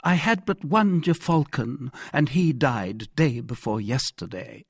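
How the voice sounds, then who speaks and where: A man's voice: mid-range voice, expressive, measured pace, gravelly, slightly rough, no room sound, clean studio sound, pitched above the performer's own voice, full character voice. A man voicing a petulant, self-pitying, hot-tempered French king in an audiobook, with querulous, theatrical outbursts. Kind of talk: dramatised audiobook character voice.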